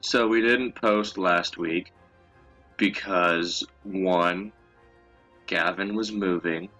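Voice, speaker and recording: A young man with a very low voice that has a bright, forward edge. He tells stories in a loose, casual co-host style and swears freely. Faint music plays behind him. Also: fairly even intonation, drawn-out words, rough recording. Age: young adult. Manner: crude, profanity-laden. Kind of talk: casual chat, storytelling.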